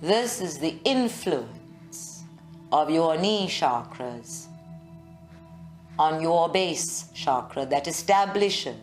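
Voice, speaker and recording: A woman guiding a live meditation in a measured, soothing way, with long pauses. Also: low-pitched, expressive, faint music behind. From South Africa. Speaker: meditation guide. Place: group meditation session at a centre, recorded live.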